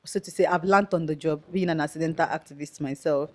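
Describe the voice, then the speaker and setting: A young woman with a Nigerian accent speaking earnestly as an interview guest, with a loud, outspoken way of talking. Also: low-pitched, steady tone, fast talker. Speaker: guest, activist. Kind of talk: conversational interview.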